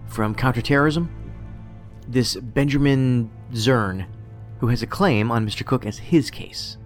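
A pedantic male federal agent, played by an actor, speaks in a mid-pitched voice with music beneath. He is precise and formal, musing in a detached, socially awkward way, as in a science fiction audio drama. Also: a little slow, lightly acted. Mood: analytical, intent. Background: music under the voice.

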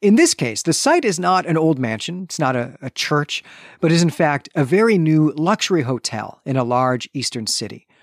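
A man's voice: fairly high pitch, big pitch swings, moderate pace, dry recording. Guy doing a book recap podcast, chatty and kinda wordy, qualifies himself, very dry sound. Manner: discursive, conversational, self-qualifying. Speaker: podcast co-host. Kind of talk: book discussion and story recap.

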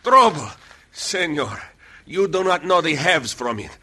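Pompous old Mexican, husky, bright. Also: male voice, fairly high pitch, sing-song, a little slow, exaggerated voice. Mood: exasperated. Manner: pompous, exclamatory. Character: pompous, long-suffering old Mexican burro driver, boastful scholar. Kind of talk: old-time radio western acting.

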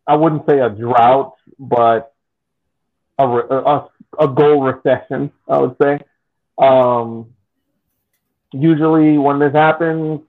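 An American man talks through a soccer game on a podcast, his voice squeezed thin as if over a phone line. He stumbles and restarts sentences often while keeping a relaxed, chatty tone. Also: medium pitch. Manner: halting, restarts often, laughs easily. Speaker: podcast co-host. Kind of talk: casual sports chat.

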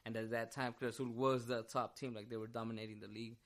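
A man co-hosting a casual podcast chat, relaxed and loose, filling gaps with "yeah" and "dude". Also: mid-range voice, quick pace. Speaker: podcast co-host.

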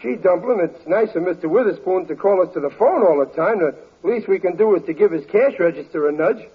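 An actor playing a grumbling, good-hearted working-class husband in a 1940s radio sitcom, with folksy, ungrammatical speech and a blustering manner. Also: male voice, sits high, expressive, fairly quick. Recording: tinny, narrow old radio recording.

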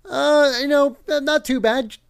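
A man's voice: extremely high pitch, measured pace, creaky, dry recording, studio quality. A man hosting a casual podcast, a little congested from a head cold, laughing often as he chats. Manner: laughing, animated, acts out quotes. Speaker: podcast host.

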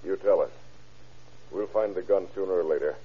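Deep, gruff American police captain. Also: male voice, moderate pace. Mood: pressing. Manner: brusque, authoritative interrogating. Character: gruff, commanding, shrewd male police captain. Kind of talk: old-time radio crime drama acting. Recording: tinny, rough old radio recording.

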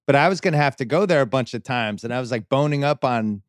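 A man hosting a podcast, chatty and joking as he asks his guest quick questions. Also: medium pitch, expressive, no echo, studio quality. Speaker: podcast host. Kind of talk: casual interview chat.

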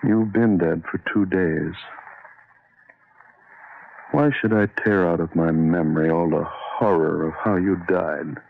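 An actor playing an anguished, guilt-ridden man losing his mind, in a melodramatic, halting radio horror monologue. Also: low voice, fairly even intonation, gravelly, full character voice. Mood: bitter, grieving. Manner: melodramatic, halting, haunted delivery. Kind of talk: 1940s-style radio horror monologue acting. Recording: muffled, noisy old radio recording.

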